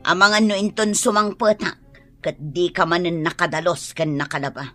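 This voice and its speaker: Actress as a stern, sharp Ilocano mother. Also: on the low side, steady tone, moderate pace, soft background music, close to an everyday voice. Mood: scolding, exasperated. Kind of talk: Ilocano radio drama acting.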